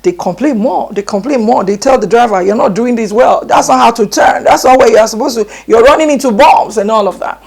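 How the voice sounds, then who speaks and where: A woman's voice: on the high side, fairly quick, creaky, few pauses, a little mellow, breathy edge. A woman with a Nigerian accent, answering interview questions in an earnest, emphatic way and often repeating her phrases. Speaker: education guest.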